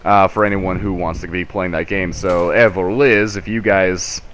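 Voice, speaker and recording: A man co-hosting a casual video game chat, explaining things in a halting, rambling, self-correcting way. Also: sits low, steady tone, fairly bright tone, a little hiss or hum. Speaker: podcast co-host.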